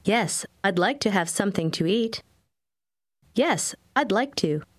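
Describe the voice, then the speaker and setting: Female language-course speaker, very slow delivery with wide melodic intonation, short model phrases. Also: mid-range voice, expressive, no echo, studio quality. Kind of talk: scripted example phrases for repetition.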